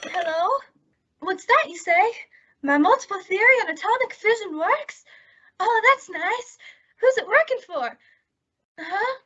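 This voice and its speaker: A woman's voice: very high-pitched, pushed and bright, heavily acted. A woman playing a sweet, scatterbrained, guileless young woman in a radio comedy. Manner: guileless, ditzy. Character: sweet, scatterbrained young dumb-blonde type. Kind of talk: old-time radio comedy acting. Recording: dull lo-fi recording.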